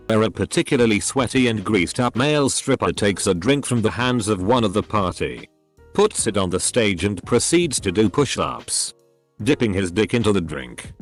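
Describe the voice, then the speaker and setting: A man with a lowish, lively voice narrates personal stories from the internet. His pitch moves around freely as he reads the script. Faint music plays behind him.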